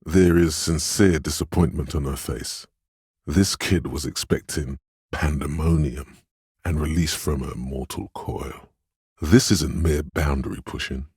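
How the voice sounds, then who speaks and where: A man voicing a game's empathetic inner voice, a perceptive and tender narrator with a husky, very deep voice and measured, literary delivery. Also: a little mellow, no room sound, studio quality, heavily acted. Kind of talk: scripted game narration.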